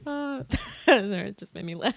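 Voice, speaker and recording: A woman's voice: on the high side, lively intonation, normal speed, creaky, no room sound. Playful casual woman, dry close sound. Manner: playful, giggly, slangy. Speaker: podcast guest. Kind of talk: casual discussion of fantasy lore.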